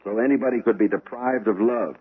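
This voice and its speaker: An actor playing an earnest, impatient American police lieutenant in a radio drama, blunt and plain-spoken. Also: male voice, on the low side, a little fast, breathy edge, narrow, tinny audio. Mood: earnest, weary. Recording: thin band-limited old radio recording.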